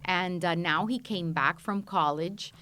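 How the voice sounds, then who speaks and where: A woman's voice: lowish voice, unhurried, pushed and bright. A middle-aged woman from Colombia answering conversationally as a guest in a studio podcast interview. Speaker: podcast guest, wine shop and restaurant owner.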